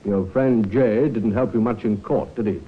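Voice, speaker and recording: An actor playing a confident police inspector, polite but pressing, in an old time radio drama. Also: male voice, lowish voice, normal speed, lightly acted. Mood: coaxing, pressing. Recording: thin phone-like old radio recording.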